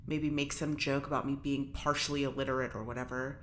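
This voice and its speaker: A woman's voice: very deep voice, level tone, a little fast, a little hiss or hum. An actress playing an agitated, defiant woman prisoner in space, stammering and talking to herself as she fights panic in an audio drama monologue. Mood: bitter, sardonic.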